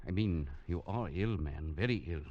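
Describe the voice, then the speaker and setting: A man playing a deep-voiced, reasoning friend. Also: a little mellow, dull audio, lightly acted. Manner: soothing, brisk, reasoning. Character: worried, sensible friend at a sickbed. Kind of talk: 1940s radio drama acting.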